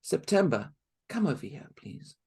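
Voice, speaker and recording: Brief: kind old month spirit, played by a man, soft and calm, gravelly and husky. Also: on the high side, moderate pace, full character voice. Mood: kindly.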